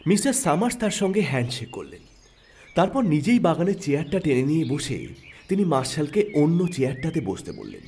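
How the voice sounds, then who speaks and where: A man narrating an audio story in an expressive, dramatic storytelling style. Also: fairly high pitch, slight background noise. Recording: narration over background music.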